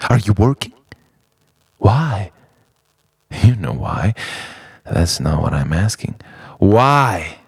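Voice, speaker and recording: A man delivers an introspective spoken-word piece in a low, creaky voice. He moves slowly through halting, poetic phrases and questions, with pitch that swings widely from line to line. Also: big pitch swings, no room sound. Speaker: narrator of a personal art film.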